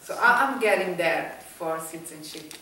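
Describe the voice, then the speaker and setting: A woman's voice: lowish voice, animated, moderate pace, pushed and bright. A woman from Angola, giving her personal testimony, who laughs often and searches for English words. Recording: echoey room, distant mic, faint noise.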